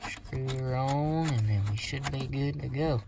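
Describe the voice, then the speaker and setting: A plainspoken, folksy man giving a casual hands-on tutorial, talking hesitantly in short phrases with pauses. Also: medium pitch, darkish tone. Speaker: how-to video presenter. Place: inside a parked car. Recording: noisy amateur recording with clicks.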